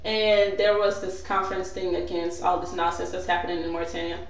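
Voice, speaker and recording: A woman's voice: lowish voice, fairly quick. Sarcastic young woman vlogging in an echoey room. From West Africa. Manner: sarcastic, animated, lots of "like". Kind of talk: casual to-camera rant. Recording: very echoey, distant, dull lo-fi sound.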